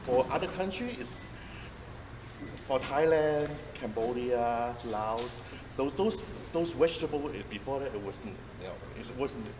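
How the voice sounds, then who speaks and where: Halting man guiding a tour. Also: medium pitch. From Vietnam. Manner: halting, many restarts and fillers, thinks aloud while explaining. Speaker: chef and tour guide. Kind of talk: informal guided-tour talk. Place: outdoors on a busy street near a market. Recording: distant, echoey street recording with traffic noise.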